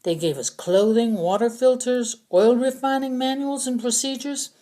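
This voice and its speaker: A woman plays a desperate male prisoner from a zombie adventure audiobook. His voice is pushed high and insistent, pleading and accusing as he argues for himself. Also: moderate pace, dark tone, lightly acted. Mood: defensive. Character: frantic, pleading captive man, a wasteland scavenger.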